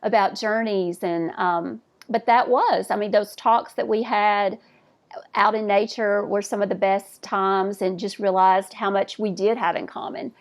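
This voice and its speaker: A woman's voice: mid-range voice, steady tone, unhurried. Conversational woman hosting a podcast. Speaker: podcast host. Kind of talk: casual interview.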